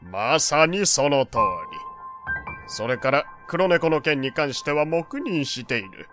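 Pompous, doting old father, lively pitch. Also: slow pace, music in the background. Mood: fond, proud. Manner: old-fashioned pompous speech. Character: proud, doting old father, wealthy and long-winded. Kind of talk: Japanese audio drama acting.

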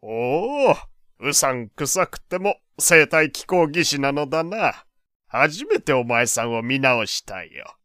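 An actor playing a genial, slightly pompous old gentleman with old-fashioned, avuncular speech in an audio drama. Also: on the high side, sing-song, slow, no echo, clean studio sound, full character voice. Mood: relieved, impressed. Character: genial old gentleman, pompous but kindly family man. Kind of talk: Japanese audio drama acting.

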